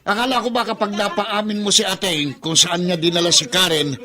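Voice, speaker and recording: Sneering, sharp-voiced Filipino villain. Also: male voice, high voice, steady tone, brisk. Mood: scornful. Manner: sneering, taunting, domineering. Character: greedy, menacing middle-aged villainous man, bullying and threatening. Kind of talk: Tagalog radio drama acting.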